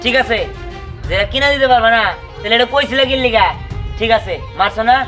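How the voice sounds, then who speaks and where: Man voicing a high-pitched stubborn cartoon boy, Bangladeshi accent. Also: a little fast, bright, forceful tone, soft background music. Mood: sulking, angry. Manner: pleading, demanding. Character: stubborn, whiny boy from a poor family. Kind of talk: cartoon comedy drama dialogue.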